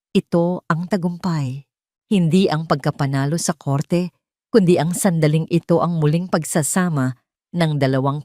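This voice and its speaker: A woman with a Filipino accent reading a scripted dramatic story in a measured, solemn manner. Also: lowish voice, warm tone, no room sound, studio quality. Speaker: story narrator.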